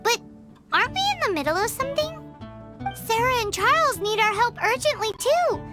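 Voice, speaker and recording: A woman's voice: very high-pitched, very animated, moderate pace. A woman voicing a tiny childlike fairy companion in a video game, cheeky, blunt and easily indignant. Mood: incredulous. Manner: speaks of herself in third person, blunt, exclamatory. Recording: music behind the voice.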